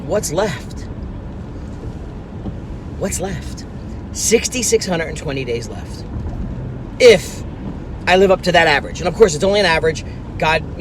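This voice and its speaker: A man's voice: on the high side, background noise. A man in his fifties giving an earnest motivational talk to his phone camera from inside a car, repeating his key points with emphasis. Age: 50s. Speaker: business coach. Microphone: phone camera at arm's length.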